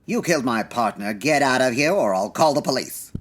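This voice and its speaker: A man playing a curt, defensive, shifty crook with a husky voice in a radio noir mystery. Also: medium pitch, lively intonation, brisk, a bit bright. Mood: alarmed. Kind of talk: old-time radio acting.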